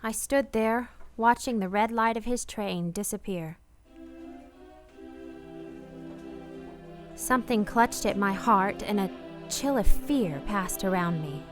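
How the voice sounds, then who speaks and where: A woman's voice: mid-range voice, unhurried, music in the background, close to an everyday voice. An actress playing a proper married woman in a romantic radio play, polite, hesitant and restrained. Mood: anguished narration. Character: reserved, proper married woman, quietly smitten and conflicted.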